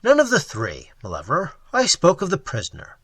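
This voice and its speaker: A man's voice: on the high side, huge pitch swings, fairly quick, fairly bright tone, slightly rough, no room sound, pitched above the performer's own voice, exaggerated voice. A man voicing a bored, idle earl at a dinner party in an audiobook reading. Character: bored, yawning male earl.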